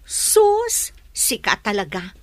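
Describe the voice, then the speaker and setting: An actress playing a teasing, supportive best friend in a radio drama. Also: female voice, mid-range voice, wildly swooping pitch, takes it slowly, dry recording.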